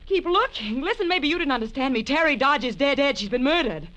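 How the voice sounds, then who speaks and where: An actress playing a cool, teasing femme fatale in a 1940s radio crime drama. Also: female voice, high voice, big pitch swings, brisk, fairly bright tone, lo-fi sound. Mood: urgent, hard. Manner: coy, self-possessed, teasing. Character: sultry, cool, gun-toting femme fatale.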